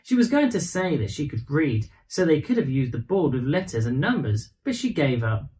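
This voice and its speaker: A man's voice: a little high, very animated, normal speed, a little mellow. A man in his thirties reading a children's bedtime story expressively. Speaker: storybook reader.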